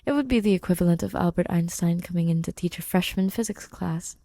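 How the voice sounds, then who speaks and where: A woman's voice: fairly low pitch, fast talker, dark tone, no room sound. A woman reading fan fiction aloud as a podfic narrator, in scripted storytelling style.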